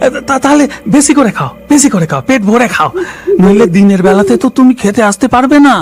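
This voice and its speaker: A man playing a simple, kindly cremation-ground worker who stammers and repeats himself in an animated horror story. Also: extremely high pitch, brisk, soft background music, exaggerated voice. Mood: earnest, flustered.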